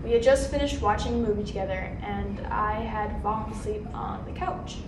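A woman acting a dramatic monologue as a grief-stricken young nurse who blames herself, swinging between flat withdrawal and outbursts. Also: medium pitch, normal speed, noisy background, close to an everyday voice. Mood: distraught. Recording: echoey room from a distance.

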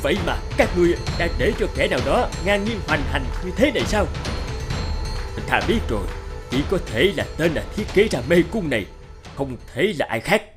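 Give the cartoon a commanding king, played by a man. He is middle-aged, pompous and quick to anger. His pitch moves widely as he issues orders, with music playing behind him. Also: big pitch swings. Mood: furious. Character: imperious, hot-tempered middle-aged bearded king. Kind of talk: cartoon dub acting.

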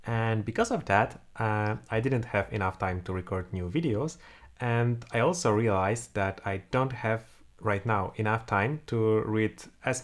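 Low, gravelly Polish-accented man. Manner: thinks aloud while typing, many "uh" fillers. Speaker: programming tutor. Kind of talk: screen-recorded coding tutorial. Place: home room, indoors.

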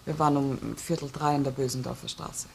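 An actress playing a cool, reserved widow in a German radio crime drama, giving guarded, clipped, matter-of-fact answers as a witness. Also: female voice, rumbling low, steady tone, brisk, creaky. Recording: old radio recording, faint noise.

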